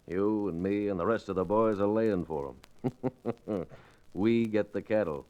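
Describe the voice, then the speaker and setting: An actor playing a scheming, self-satisfied American outlaw gang boss in an old-time radio western. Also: male voice, fairly low pitch, a little mellow, close to an everyday voice. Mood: gloating. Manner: chuckling, drawn-out, self-satisfied. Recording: dull vintage radio recording.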